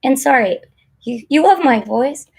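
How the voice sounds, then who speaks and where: A woman with a raspy voice, hoarse from losing it recently, chatting casually and rambling with viewers on a live stream. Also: sits high, animated, measured pace, darkish tone. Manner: chatty, rambling, with fillers and laughs. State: hoarse after losing her voice. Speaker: vlogger answering live chat.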